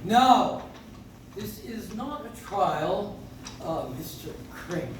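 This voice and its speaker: An actor playing a mild, polite, hesitant prosecutor in a stage radio play. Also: male voice, high voice, lively intonation, slow pace. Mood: courteous, amused. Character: mild, courteous assistant district attorney. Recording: echoey, distant, noisy audience-member recording.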